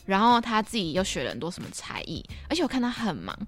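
A woman's voice: mid-range voice, wildly swooping pitch, fast. A young woman with a Taiwanese Mandarin accent chats casually, reacting expressively with a teasing streak. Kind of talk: casual podcast chat. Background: background music.

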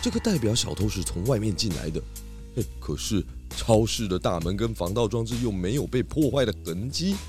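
A man's voice: lowish voice, expressive, brisk, music in the background, close to an everyday voice. A man playing a portly, confident pig detective in a children's detective audio drama, theatrical and full of exclamations. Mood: pondering, confident.

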